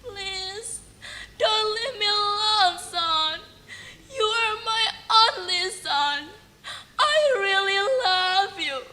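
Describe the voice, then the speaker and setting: A woman's voice: very high voice, drawn-out words, pushed and bright, voice pushed up, exaggerated voice. A woman voicing a heartbroken old mother who begs tearfully for her son. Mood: pleading. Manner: tearful, begging.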